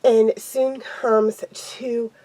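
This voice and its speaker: A young woman with a cold and a stuffed-up, nasal voice, hosting a casual TV episode review and talking haltingly with many pauses and ums. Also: on the high side, little pitch movement, mellow, poor audio. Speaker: show host reviewing TV episodes.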